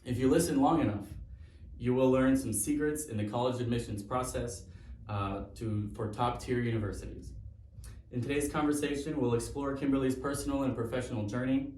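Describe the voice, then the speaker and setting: A man's voice: medium pitch, darkish tone, far from the mic, slight background noise. A man hosting a podcast, giving a formal, admiring introduction and asking interview questions. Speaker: podcast host. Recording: echoey room, voice from off mic.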